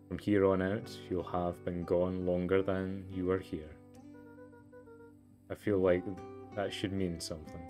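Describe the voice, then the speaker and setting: A man voicing a sad, earnest twelve-year-old deer boy in a storybook game. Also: sits low.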